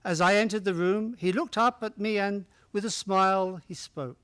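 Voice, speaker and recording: A man playing a genial, chatty older gentleman storyteller in an old-time radio play, with courteous old-fashioned manners. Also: high-pitched, steady tone, moderate pace, smooth. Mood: storytelling.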